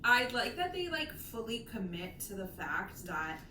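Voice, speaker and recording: A woman's voice: mid-range voice, very animated, measured pace, bright, forceful tone. Woman chatting enthusiastically in an echoey room. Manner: enthusiastic, digressive, emphatic. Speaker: co-host of a book discussion channel. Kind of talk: casual chatty book discussion. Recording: echoey room, distant mic, clear background noise.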